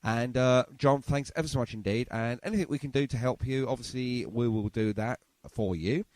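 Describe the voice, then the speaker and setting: A man's voice: medium pitch, normal speed, a little mellow, no room sound. A man hosting a casual radio interview in a chatty, effusive way, often repeating his phrases. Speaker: radio show host.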